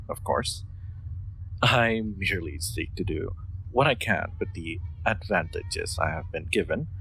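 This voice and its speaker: Male actor as soft-mannered aristocrat for audio drama: low, slow, gravelly edge, awkwardly polite, formal phrasing. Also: heavily acted, poor audio. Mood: bashful, amused. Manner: hesitant, polite, formal wording with stammered ums. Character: gentle, awkward young lord, courteous automaton owner. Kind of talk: scripted audio roleplay, intimate one-sided dialogue.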